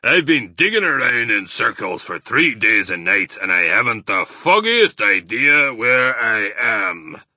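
A man voicing an excitable, despairing badger father in a children's storybook, blustering and emphatic. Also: fairly low pitch, measured pace, hardly any pauses, breathy edge, no echo, studio quality, heavily acted. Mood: relieved, weary. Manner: blustering, agitated, emphatic delivery.

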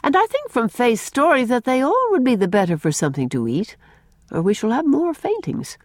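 A woman voicing a brisk, kindly male doctor, matter-of-fact and decisive, in a storybook. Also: a little high, wildly swooping pitch, no room sound, studio quality, pitched above the performer's own voice. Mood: relieved.

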